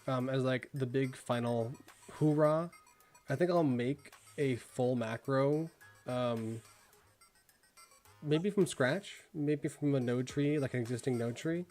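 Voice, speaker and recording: A man's voice: medium pitch, slow, a little mellow, faint music behind. A young man with a Midwestern accent, chatting casually on a stream and rambling with lots of fillers. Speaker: streamer reviewing viewer work. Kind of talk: casual live-stream chat.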